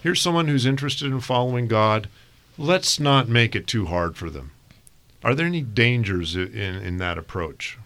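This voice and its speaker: A man's voice: medium pitch, lively intonation, moderate pace, fairly bright tone. A man from Thailand leading a panel Bible study, reading verses aloud and posing questions to the others. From northern Thailand. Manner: asks questions, reads scripture aloud. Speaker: discussion moderator.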